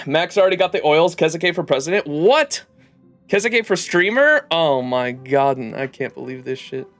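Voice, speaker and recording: A man's voice: on the high side, expressive, moderate pace, fairly bright tone. A man livestreaming a game, chatting casually with viewers in slangy, jokey, profane talk. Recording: game music under the voice. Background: game music.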